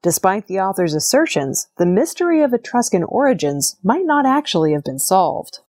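A woman presenting scripted news in a measured, formal read delivery. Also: medium pitch, sing-song, a little mellow.

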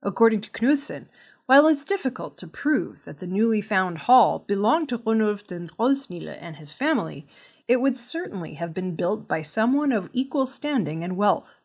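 Woman reading scripted news, medium pitch. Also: big pitch swings, moderate pace, slightly rough. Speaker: news reader.

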